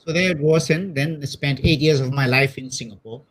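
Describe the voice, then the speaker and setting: A middle-aged priest from Malaysia with a Malaysian accent, telling personal stories casually and haltingly, often repeating words. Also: male voice, fairly high pitch, poor audio. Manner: hesitant, repeats words, tags with "you know". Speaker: missionary priest being interviewed.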